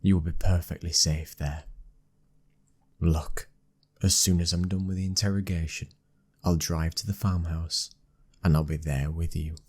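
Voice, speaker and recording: Young British mafia heir, played by a man, commanding yet loving. Very low, breathy-husky, restrained and level, for intimate ASMR roleplay. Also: little pitch movement, a little slow, darkish tone. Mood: stern, protective. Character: commanding, protective young male mafia boss's son, loving husband.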